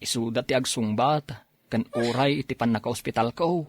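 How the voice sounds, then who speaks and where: A man's voice: medium pitch, normal speed, no room sound, close to an everyday voice. A man acting an easygoing, apologetic husband with an Ilocano accent, wheedling in an amateur audio drama. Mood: hurt, complaining. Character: easygoing, apologetic husband who drinks, pleading and teasing.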